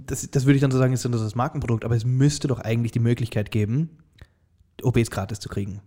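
A man's voice: medium pitch, warm tone. A young man with an Austrian accent, co-hosting a casual podcast chat, often stumbling over his words and breaking into laughter. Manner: halting, restarts sentences, laughs often. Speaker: podcast co-host.

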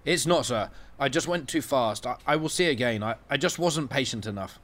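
A man's voice: mid-range voice, animated, a little fast, a bit bright, voice pushed up, natural voice. A man voicing a young male soldier who is earnest and fanatical, with a stammering, insistent way of speaking.

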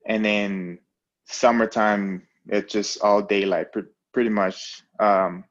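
A man's voice: on the low side, fairly even intonation, slow pace, muffled recording. A young man from American Samoa, a pro fighter, talking in a laid-back, hesitant way on a remote call. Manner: laid-back, hesitant, many fillers and restarts. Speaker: professional fighter, guest. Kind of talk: casual interview.

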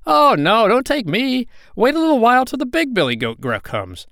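A man's voice: very high-pitched, wildly swooping pitch, normal speed, a bit bright, no echo, clean studio sound, voice pushed up, heavily acted. A man voicing a middle-sized billy goat in a storybook, anxious and pleading. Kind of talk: storybook character voice.

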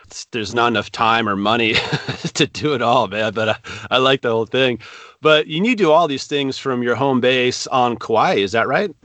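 A man's voice: medium pitch, moderate pace, a bit bright, no echo. A man from California hosting a podcast interview in a laid-back way, full of casual slang. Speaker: podcast host.

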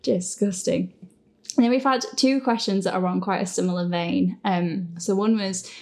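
A woman's voice: medium pitch, steady tone, normal speed, darkish tone. A woman hosting a casual question and answer chat, giggly, rambling and self-deprecating. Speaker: podcast host, creator and voice actor of the show.

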